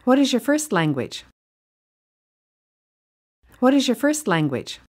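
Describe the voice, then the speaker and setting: A woman conducting an interview with short, plain questions. Her voice sits a little high. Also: big pitch swings, takes it slowly, darkish tone.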